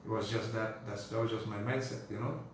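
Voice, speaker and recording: A man's voice: on the low side, steady tone, fairly quick. A man with a Dominican accent, talking casually as an interview guest in a rambling, conversational way. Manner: rambling, often says "you know". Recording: very echoey room, distant mic, noisy.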